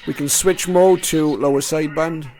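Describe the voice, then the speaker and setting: A man's voice: a little high, fairly even intonation, normal speed, a little mellow. A man giving casual, unscripted commentary as he tunes a radio, thinking aloud in short remarks. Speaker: radio hobbyist host. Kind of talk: casual screen-recorded tuning commentary. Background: radio music and signals from the receiver.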